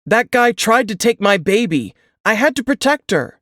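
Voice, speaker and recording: A woman's voice: on the high side, big pitch swings, normal speed, a bit bright, no echo, clean studio sound, voice pushed up. A woman voicing a brawny young soldier, an insistent and earnest man protective of a baby, in an audiobook. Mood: urgent, defensive. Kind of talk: audiobook character dialogue.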